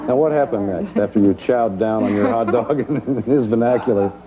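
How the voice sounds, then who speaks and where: A man's voice: fairly low pitch, moderate pace, low-quality recording. A man hosting a dating show in front of a studio audience, chatty and teasing with the guests. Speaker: game show host. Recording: old TV studio broadcast with noise.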